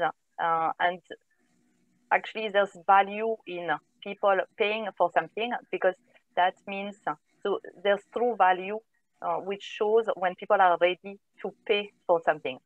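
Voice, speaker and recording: French woman, 30s, halting and filler-heavy, dry tinny call audio, earphone mic at home. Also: medium pitch, steady tone, no echo. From northern France. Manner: hesitant, many filler sounds. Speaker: guest, company co-founder. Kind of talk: video call interview. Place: home room, indoors. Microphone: wired earphone headset on video call.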